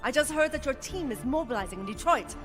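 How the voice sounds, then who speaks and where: Scheming executive villainess, high pitch, swooping theatrical game delivery, haughty, over clear music. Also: female voice, lively intonation, fast talker. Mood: imperious, irritated. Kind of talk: video game voice acting. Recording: music under game dialogue.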